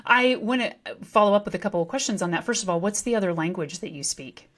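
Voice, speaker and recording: A woman's voice: medium pitch, lively intonation, fast. A woman from rural Nebraska hosting a podcast, asking friendly questions with enthusiasm. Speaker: podcast host. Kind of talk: casual interview talk.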